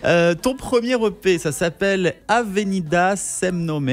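A man's voice: high voice, a little slow. A man in his twenties presenting a radio interview and putting questions to a guest, close on a studio microphone. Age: 20s. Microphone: studio microphone on a boom arm, close.

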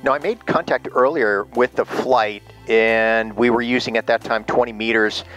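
A man's voice: mid-range voice, fairly even intonation, normal speed, a bit bright, soft background music. Upbeat man at his home radio desk. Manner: upbeat, frequent uh fillers. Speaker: ham radio operator and private pilot. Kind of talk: casual vlog narration and radio chat. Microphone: GoPro camera on the desk.

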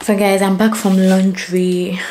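A woman in her twenties chatting casually to camera, informal and halting, with "like" sprinkled through her sentences. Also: mid-range voice, flat delivery, loud music behind the voice. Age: 20s. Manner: informal, halting, filler-heavy with frequent "like". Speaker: vlogger. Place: small bedroom or parked car. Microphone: camera at arm's length.

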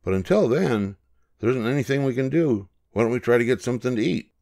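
A man's voice: medium pitch, expressive, brisk, gravelly, dark tone, pitched above the performer's own voice. A man voicing a friendly, helpful male ship's cat in a storybook, speaking politely and reassuringly. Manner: reassuring, politely well-spoken.

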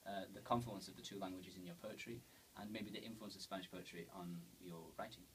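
Guy hosting an interview, lowish voice, roundabout questions, bit far from the mic with some hiss. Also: steady tone, fairly quick, a bit bright. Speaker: interviewer and host. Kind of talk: informal spoken introduction and questions. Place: indoors in a bookshop, seated. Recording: distant, hissy camera recording.

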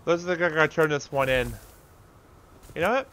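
A man narrates his own video game in a bright, slightly high voice. He is casual and offhand, often muttering to himself. The recording is a home setup with some noise behind him. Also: normal speed. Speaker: gamer host narrating own play. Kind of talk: casual gameplay commentary.

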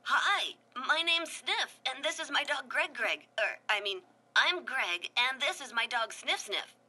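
A woman's voice: high-pitched, animated, unhurried, sharp tone, breathy edge, pitched above the performer's own voice, heavily acted. A woman voicing a nervous, goofy young guy who gets flustered and jokes his way through. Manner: flustered, jokey.

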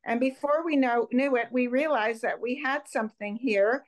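An older woman from Montreal gives a public presentation by video call from a room at home. Her voice sits fairly high as she speaks as a guest co-founder of an organization. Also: normal speed. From Montreal, Canada.

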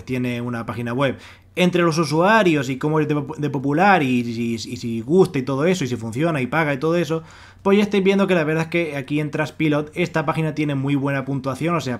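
A man with a Spain accent narrates a casual on-screen walkthrough. His voice sits at an average pitch for a man. He explains things in a wordy way, circling back and restating each point. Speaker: YouTube presenter of money-making websites. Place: voice-over on a screen recording.

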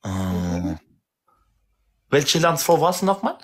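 A man's voice: on the high side, very animated, slow pace. A twenty-three-year-old man hosting a live stream, chatty and teasing, turning aside to talk to his viewers. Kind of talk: casual live stream chat.

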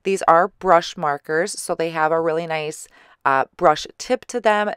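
Slightly hoarse woman narrating a craft video. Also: deep voice, unhurried, dry recording, studio quality. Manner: relaxed, chatty explaining with small fillers. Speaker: card-making channel host. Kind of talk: craft tutorial voice-over. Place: recorded separately as voice-over.

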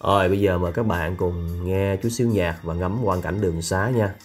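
A man's voice: low voice, fairly even intonation, normal speed. A man chatting casually as a food and travel vlogger while riding through city streets at night, hesitant with many fillers. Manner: chatty, hesitant with frequent fillers, lists places. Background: street music.

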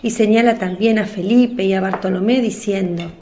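Woman narrator with a medium-pitched voice. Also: moderate pace, warm tone, slight background noise. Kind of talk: scripted narration of a religious radio drama.

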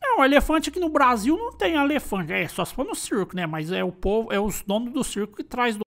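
An actor playing an excitable, simple country farmer in a cartoon, with a rural Brazilian caipira accent, exclaiming and rambling in a high put-on voice. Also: fairly quick. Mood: puzzled, pondering. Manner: exclamatory, rambling, repeats himself. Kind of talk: animated cartoon monologue.

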